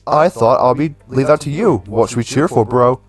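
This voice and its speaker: A man's voice: mid-range voice, normal speed, breathy edge, no room sound, faint music behind, natural voice. Man putting on an eager, dim werewolf jock. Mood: earnest. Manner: bro-ish, eager, naive. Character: earnest, dim, excitable young male werewolf jock.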